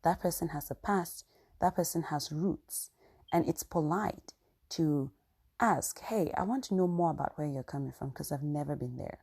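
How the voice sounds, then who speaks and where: A woman's voice: deep voice, normal speed, creaky, a little mellow, slightly rough. A woman with a Cameroonian accent telling a personal story to her viewers in an earnest, chatty way. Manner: earnest, conversational, frequent "you know" asides. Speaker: vlogger.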